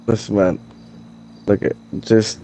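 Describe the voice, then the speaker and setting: A man's voice: on the low side, level tone, slow, mellow, slight background noise, low-quality recording. A man playing a jittery, foul-mouthed drug dealer in hiding in an improvised game roleplay, with a hesitant, rambling delivery. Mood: evasive, uneasy. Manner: hesitant, rambling, profane slacker talk.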